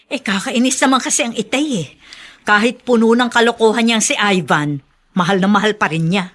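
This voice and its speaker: An actress playing a resentful, exasperated grown daughter in a radio drama, animated and complaining. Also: female voice, medium pitch, fairly quick, natural voice. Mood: annoyed. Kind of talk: Tagalog radio drama acting.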